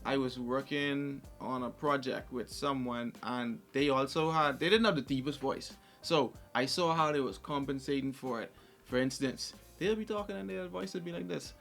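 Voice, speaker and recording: A man with a light, high voice, hosting a podcast in casual, animated chat, who laughs often and sometimes stammers. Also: normal speed, vocal fry, soft background music. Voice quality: light, high voice, not deep. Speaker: podcast host.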